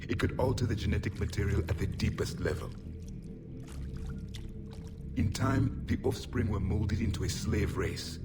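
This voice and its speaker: Guy playing ancient alien soldier, really low voice, proud n bitter, game dialogue over loud music. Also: normal speed, pauses often, natural voice. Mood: grim warning. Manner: grave, haughty, solemn pronouncements. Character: ancient alien warrior survivor, proud, bitter, distrustful of machines. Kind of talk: video game voice acting.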